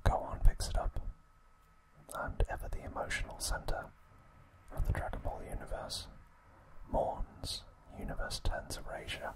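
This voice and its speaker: A man hosting an ASMR recap, speaking in a soft, breathy, unvoiced whisper with a gentle delivery. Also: normal speed, low-quality recording. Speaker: ASMR host.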